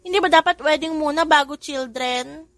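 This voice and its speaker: A woman voicing a cheeky, mischievous little child with a bright manner. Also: high voice, moderate pace, no room sound, voice pushed up. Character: small mischievous kids, quadruplets.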